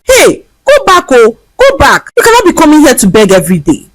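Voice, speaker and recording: A woman voicing a male gate guard who threatens and shouts. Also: high voice, wildly swooping pitch, a little fast, no room sound, pitched above the performer's own voice, heavily acted. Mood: threatening.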